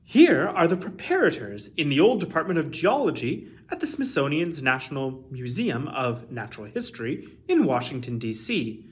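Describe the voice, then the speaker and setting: Male storytime host, thirties, reads to children with expressive, very varied intonation. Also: a little high, moderate pace, hardly any pauses. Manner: expressive, carefully enunciated storytelling. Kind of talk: reading a children's picture book aloud. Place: small indoor room with shelves.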